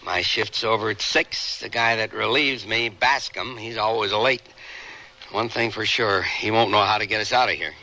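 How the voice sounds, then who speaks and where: An actor playing a bitter, defensive working-class American veteran in a radio drama, with rough, slangy speech. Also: male voice, lowish voice, normal speed, bright, forceful tone, low-quality recording. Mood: glum, resigned.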